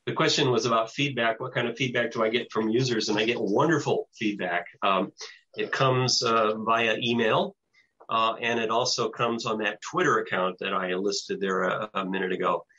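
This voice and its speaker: An older American man giving an informal presentation and answering questions in a halting, hesitant way over a video call. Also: on the low side, fairly even intonation, bright, forceful tone, breathy edge. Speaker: presenter, radio show producer. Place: small home office with bookshelves, indoors. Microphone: computer mic on a video call. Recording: dull lo-fi video call audio.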